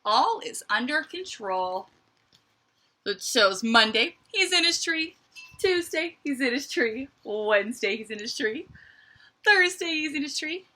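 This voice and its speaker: A woman reading a picture book aloud to children like a teacher, with expressive emphasis and chatty asides. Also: high voice, measured pace. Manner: expressive, dramatic emphasis, conversational asides to young listeners.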